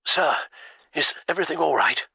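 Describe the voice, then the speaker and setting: A man playing an older butler in a video game, courteous, careful and protective, with a husky voice. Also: on the high side, animated, normal speed, no echo, studio quality, exaggerated voice. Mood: concerned. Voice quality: husky, bright edge. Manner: polite, careful, concerned.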